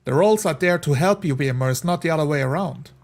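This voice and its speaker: Higher-pitched man talking game rules. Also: fast. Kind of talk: casual discussion of tabletop game rules.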